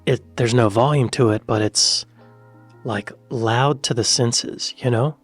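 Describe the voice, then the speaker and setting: An American man hosting a reaction video in casual commentary, with a soft, quiet voice and a musing manner that trails off and repeats itself. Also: mid-range voice, steady tone, unhurried, husky, faint music behind. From United States.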